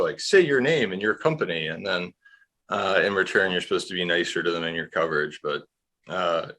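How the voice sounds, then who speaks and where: A man with a fairly low voice that has a bright, sharp edge. He speaks as an industrials analyst in off-the-cuff market commentary, wandering through his points candidly and dropping dry asides. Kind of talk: conversational market commentary on a morning call.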